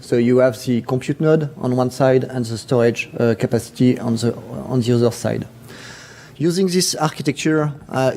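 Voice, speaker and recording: A man with a French accent giving a technical conference presentation, halting, with many fillers and restarts. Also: medium pitch, warm tone. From France. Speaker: conference speaker.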